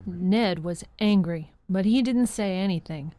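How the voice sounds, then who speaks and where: A woman's voice: medium pitch, a little slow, mellow, dry recording. A woman narrator reading a scripted holiday story for a radio drama in a storytelling style. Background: music.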